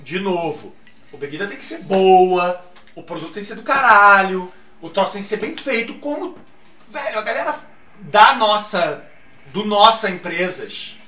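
A man in his forties talking as a podcast guest, loud and joking, swearing and laughing often. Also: high-pitched, lively intonation, moderate pace, roomy sound, far from the mic. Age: 40s. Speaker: podcast guest, drinks company founder. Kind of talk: casual podcast chat.

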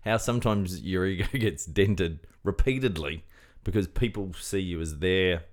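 A middle-aged Australian man co-hosting a podcast, teasing his friend, laughing a lot and talking in quick bursts. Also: low-pitched. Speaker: chiropractor and podcast co-host. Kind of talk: casual chat.

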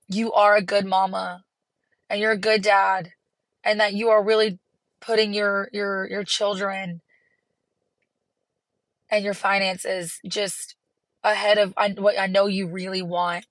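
A hoarse woman, hosting her podcast from a parked car in an earnest, encouraging way. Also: mid-range voice, a bit bright, no room sound. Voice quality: raspy. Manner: earnest, encouraging, rambling with restarts. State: hoarse from laryngitis. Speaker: podcast host. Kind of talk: casual solo podcast talk.

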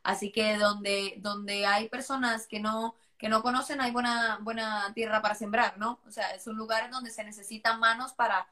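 A woman's voice: on the high side, steady tone, moderate pace, hardly any pauses, sharp tone. A woman from Costa Rica with a mixed Costa Rican and Spanish accent, chatting casually and animatedly about her missionary work. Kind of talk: casual conversation.

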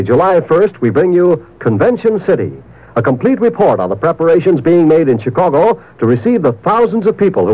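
A man's voice: on the high side, mellow. A man reading a scripted promo as a network announcer on 1950s radio. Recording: dull old radio recording.

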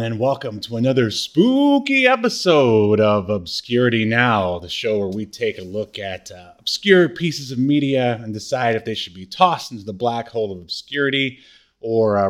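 A man's voice: medium pitch, big pitch swings, normal speed, a bit bright. A man co-hosting a podcast in casual chat, with a playfully grandiose, joking way of talking. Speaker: podcast co-host.

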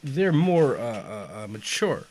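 A young man in casual conversation, emphatic and animated, dropping "man" and "dude" as he argues his point. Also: medium pitch, takes it slowly, a little hiss or hum. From United States, family from Albania. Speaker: podcast guest. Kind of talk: casual chat.

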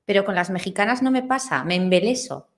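A woman's voice: mid-range voice, quick pace. A woman from Spain, a singing teacher, chatting enthusiastically as a guest with a Spanish accent. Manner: enthusiastic, expressive. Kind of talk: casual interview chat.

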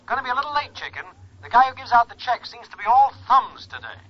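A man's voice: extremely high pitch, big pitch swings, quick pace, full character voice. An actor playing a brash, lazy, wisecracking unemployed boyfriend in an old radio sitcom, full of slangy wise-guy talk. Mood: breezy. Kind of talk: 1940s-50s radio sitcom acting. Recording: dull, noisy old radio recording.

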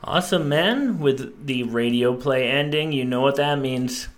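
A man's voice: medium pitch, unhurried. Upbeat young man co-hosting a podcast. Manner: upbeat, joking. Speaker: podcast co-host. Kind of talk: casual podcast chat.

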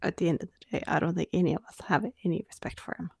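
A woman in her late twenties from Norway, speaking English with a Norwegian accent tinged with Australian, co-hosting a candid podcast and often dropping to a hushed near-whisper. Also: fairly low pitch, steady tone, very fast, vocal fry, slightly rough, no echo, studio quality. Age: 20s. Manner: soft-spoken, drifting into hushed near-whispers. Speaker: podcast co-host. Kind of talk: candid conversational podcast chat.

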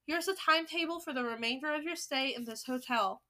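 A woman voicing a tyrannical, scornful Grand High Witch in a storybook, commanding and screeching. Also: brisk, bright, forceful tone. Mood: bossy.

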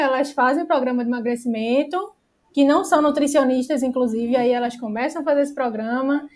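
A Brazilian woman with a distinctly high voice talks as a guest expert on nutrition. Her delivery is casual and explanatory, dotted with small tag questions that check for agreement. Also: a little mellow. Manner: peppers speech with tag questions seeking agreement.

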